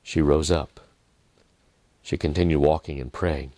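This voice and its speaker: A man reading a dramatic story in a measured manner, with deliberate pauses and softened phrases. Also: rumbling low, steady tone, dry recording. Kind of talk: dramatic scripted narration.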